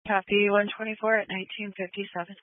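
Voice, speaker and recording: A woman's voice: medium pitch, level tone, fast, no room sound. A woman working as an emergency dispatcher, giving procedural radio instructions and repeating details back over a two-way radio. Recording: narrow, phone-like scanner radio audio.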